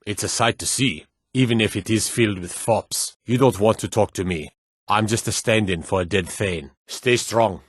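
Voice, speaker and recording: An actor playing a gruff, proud Nord guard in a fantasy video game, blunt and curt with folksy rustic phrasing. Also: male voice, fairly low pitch, moderate pace, no room sound, studio quality. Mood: blunt, matter-of-fact.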